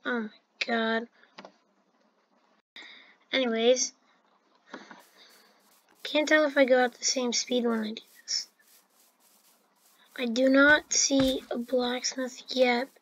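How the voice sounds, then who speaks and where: A woman's voice: high-pitched, fairly even intonation, slow, pauses often, fairly bright tone, a little hiss or hum, poor audio. A woman giving casual, chatty commentary over her own gameplay, with fillers and restarts. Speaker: gameplay narrator.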